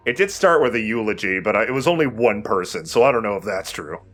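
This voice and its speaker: A man's voice: fairly low pitch, animated, fast, fairly bright tone, faint music behind. A man giving casual, joking gameplay commentary as host and player, with some swearing. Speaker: let's play host and player. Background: video game sounds.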